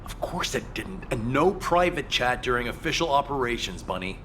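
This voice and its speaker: Businesslike, by-the-book operations leader. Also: male voice, medium pitch, big pitch swings, normal speed, fairly bright tone, slight background noise. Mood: flustered. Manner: businesslike, crisp briefing delivery. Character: earnest by-the-book male operations leader, easily exasperated. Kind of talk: video game voice acting.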